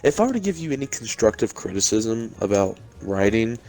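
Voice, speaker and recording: Animated, blunt teenage guy. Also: on the low side, fairly quick, soft background music, rough recording. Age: late teens. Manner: blunt, teasing, swears freely. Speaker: podcast co-host and amateur voice actor. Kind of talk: casual podcast banter.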